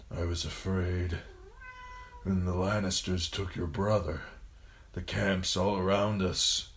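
A man voicing a frail, dying old lord and father in an audiobook, tender and pained, murmuring haltingly in a thin, wispy voice. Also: deep voice. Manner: slow, halting, murmuring speech.

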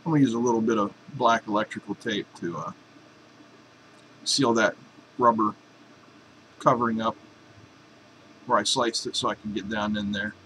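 A man's voice: on the low side, moderate pace, long pauses, pushed and bright, a little hiss or hum, rough recording. A man in a home workshop explaining a welding torch swap in a casual, joking, folksy way. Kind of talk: casual workshop how-to narration.

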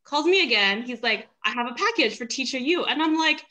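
A young woman who grew up in China and has Mandarin as her first language. She tells stories in a chatty, animated way, often breaking into laughter, and her voice is heard through a laptop mic on a video call. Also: high voice, fairly quick. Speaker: panelist. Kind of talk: casual storytelling on a panel.

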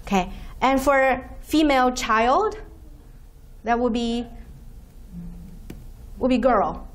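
A woman whose first language is Chinese, giving a university lecture from a podium and explaining terms slowly with many "okay"s. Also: a little high. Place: lecture room, standing at podium by projector screen.

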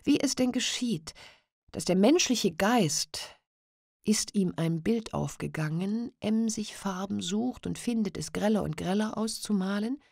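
A woman narrating a classic novella as an audiobook, in a measured, unhurried storytelling manner. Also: medium pitch, vocal fry, a little mellow, no room sound, clean studio sound.

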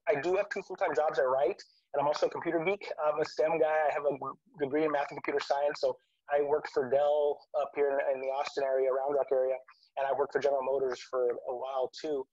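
A middle-aged man from South Texas, telling his story as an author on a video call, animated and full of restarts. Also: on the high side. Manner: animated, talks fast with many restarts. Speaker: author guest. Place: home room with virtual background. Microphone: webcam on a video call. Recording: dull lo-fi video call audio.

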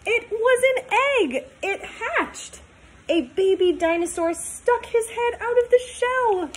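A woman in her twenties reading a storybook aloud to young children, talking in simple, sing-song, animated phrases. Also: very high-pitched, takes it slowly. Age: 20s. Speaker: teacher reading aloud to pupils.

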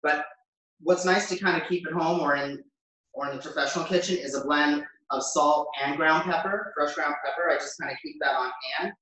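A man's voice: a little high, brisk, bright, forceful tone. American guy chef chatting through a cooking demo, really echoey kitchen, mic kinda far off. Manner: chatty, informal, thinking aloud while working. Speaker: chef hosting a cooking demonstration. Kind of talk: casual live cooking demonstration. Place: home kitchen, indoors. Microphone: camera a few metres away. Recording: very echoey kitchen, distant microphone.

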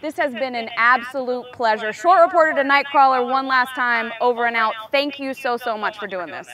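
A woman's voice: high voice, fairly quick, sharp tone. A young woman doing a casual, playful interview as a team reporter, chatty and upbeat, outdoors. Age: young adult. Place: outdoors in stadium stands.

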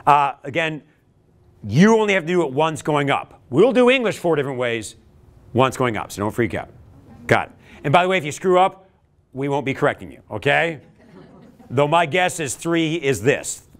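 A middle-aged man from Canada running an energetic leadership workshop in a large classroom, upbeat and animated, calling out loudly to the room, recorded by a camera across the room. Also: a little high, moderate pace. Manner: upbeat, animated, with sudden loud calls to the room. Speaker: leadership trainer. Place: large classroom, standing before a crowd of students. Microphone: far camera.

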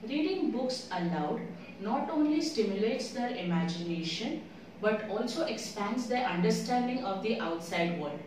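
A woman reading aloud to children in an expressive storytelling way, putting questions to the listener. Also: mid-range voice, unhurried. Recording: very echoey room, distant mic, faint noise.

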